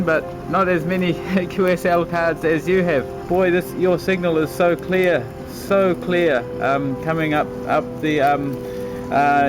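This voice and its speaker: A man chatting enthusiastically and a little haltingly as a ham radio operator, speaking out on a balcony. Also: fairly high pitch, low-quality recording. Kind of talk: casual radio chat. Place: outdoors on a cold balcony.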